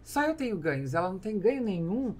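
A Brazilian woman in her forties hosting a podcast, interviewing in a measured conversational way into a studio mic. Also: mid-range voice, big pitch swings, darkish tone. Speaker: podcast host. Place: small foam-lined podcast studio. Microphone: studio microphone on boom arm.

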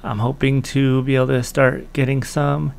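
Casual male American host, forties, mid-pitched with vocal fry, unscripted and halting. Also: little pitch movement. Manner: halting, thinking aloud. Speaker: online host of old-time radio shows. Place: small room indoors.

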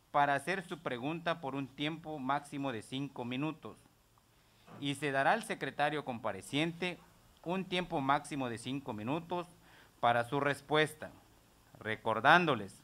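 Bright-voiced masked committee chairman. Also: mid-range voice. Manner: formal, reads procedure aloud. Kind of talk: formal procedural announcement. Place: legislative meeting room. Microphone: table gooseneck mic, face mask on.

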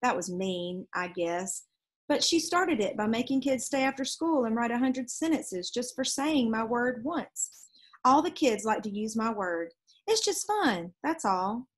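A woman's voice: on the high side, expressive, a little slow. A woman voicing an earnest, self-justifying fifth-grade boy in a storybook. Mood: earnest, defensive.

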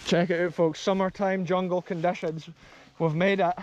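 A man's voice: sits high, little pitch movement, moderate pace, slight background noise. Enthusiastic Scottish man filming outdoors. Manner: enthusiastic, addresses viewers as folks. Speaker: explorer vlogger. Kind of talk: walking tour commentary. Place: open grassy field outdoors. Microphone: action camera held close.

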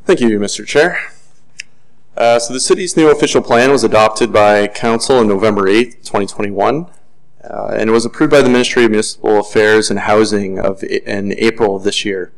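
A man on city planning staff reading a formal report in a measured way, with occasional fillers, at a desk microphone in a council chamber. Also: fairly low pitch, rough recording.

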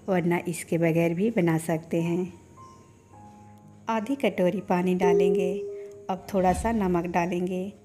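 A woman guides listeners through a home recipe in a calm, measured voice-over. Her voice sits low and warm, moving in a narrow, even line. Faint background music plays beneath her steady instructions. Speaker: cooking channel host.

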